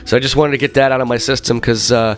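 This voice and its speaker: A man hosting a podcast in casual chat, talking with many fillers and a slightly rough voice, congested from being sick. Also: mid-range voice, fast, faint music behind. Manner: rambling, animated storyteller with many fillers. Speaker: podcast host.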